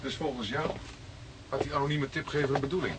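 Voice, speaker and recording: An actor playing a young police detective in a radio play, an earnest, touchy and sceptical sidekick. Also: male voice, mid-range voice, fast, lightly acted. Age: young adult. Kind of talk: naturalistic radio play acting. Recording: radio play with clear background noise.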